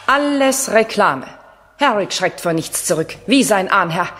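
An actress playing a haughty, shrewd English castle lady with a grand, measured manner in a 1970s German radio play. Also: mid-range voice, lively intonation, a bit bright. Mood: scornful. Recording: reverberant castle hall acoustics.

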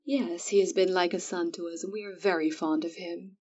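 A woman playing a calm, wise mother of four daughters, who speaks in a soothing, measured way. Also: lowish voice, distant mic, highs cut off, natural voice. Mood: gentle.

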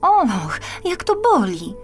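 A woman's voice: high-pitched, huge pitch swings, slow pace, faint music behind, voice pushed up, heavily acted. A woman voicing a young, tender mermaid princess in a children's audio storybook, exclaiming plaintively. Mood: pained.

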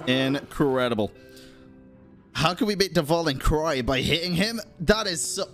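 A woman streaming a game live, reacting excitably and swearing as she goes. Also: deep voice, unhurried. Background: game music.

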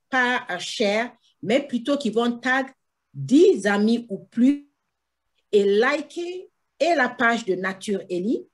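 A woman in her thirties hosting a talk show over a video call from a room with bookshelves, reading her guest's bio with fillers and restarts. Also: medium pitch.

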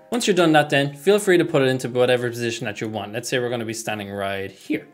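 A young man giving a casual software tutorial, speaking into a desk microphone indoors. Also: mid-range voice. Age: young adult. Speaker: tutorial host. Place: small room at home, indoors. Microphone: desk microphone close in front.

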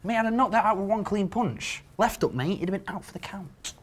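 A man's voice: high-pitched, fairly quick, husky. A man playing a cocky lad. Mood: joking swagger. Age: young adult. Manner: swaggering, teasing, swears freely. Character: cocky brash young male sports graduate. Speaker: actor in amateur short film.

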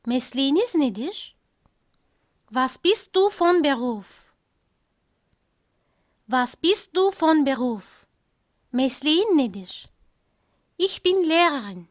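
A woman teaching a language lesson, reading each phrase slowly twice and then giving its translation. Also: sits high, big pitch swings. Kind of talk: slow, repeated language drill.

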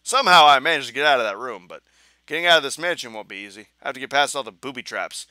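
A young man commenting on a video game as he plays, joking and mocking himself, with a hoarse voice that he says is going. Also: medium pitch, fast, no echo. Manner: joking, self-mocking, animated. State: hoarse, losing his voice. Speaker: gaming channel host. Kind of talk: casual let's play commentary.

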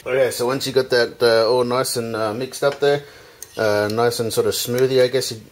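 A man in his thirties hosts a casual home cooking tutorial. His voice sits at an ordinary middle pitch and his delivery is informal and chatty. The kitchen recording has clear background noise. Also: fairly even intonation, normal speed. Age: 30s. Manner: informal, addresses viewers as guys. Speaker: cooking show host.

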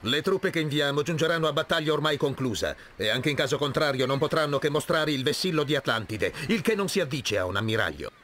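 A man's voice: a little high, expressive, fast talker, few pauses, a bit bright, slight background noise. A man voicing a weary, proud veteran admiral hero in a video game cutscene. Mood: firm.

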